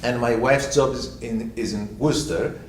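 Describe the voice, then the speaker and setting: Man from Greece, 50s, steady factual panel speaker; picked up from afar in an echoey room. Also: mid-range voice, normal speed, distant mic, slight background noise. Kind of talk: personal story at a community event. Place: library event room.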